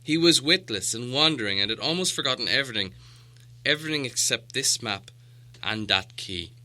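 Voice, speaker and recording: A man performs a grim old storybook wizard with a forceful, cutting voice. He is stern and impatient, sure of himself, and leans hard on his words. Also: mid-range voice, animated, normal speed. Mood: testy, grave. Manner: emphatic, grim, self-assured. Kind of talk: storybook character voice.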